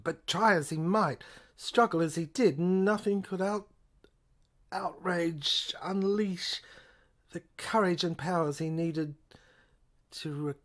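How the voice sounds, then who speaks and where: Female narrator, lower register, for literary audio drama; theatrical, halting reading with deliberate repetition. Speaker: narrator and reader of an audio play.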